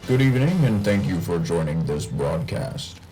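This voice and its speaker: A man playing a senior TV news anchor, delivering a formal scripted broadcast in a measured way. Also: low voice, very animated, warm tone, faint music behind, poor audio.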